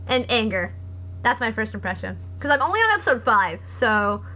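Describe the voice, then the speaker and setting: A young woman streamer with a hoarse voice, chatting casually and reading comments aloud in a rambling, sarcastic way. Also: on the high side, sing-song, moderate pace, pushed and bright, poor audio. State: hoarse after voice acting. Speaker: live streamer.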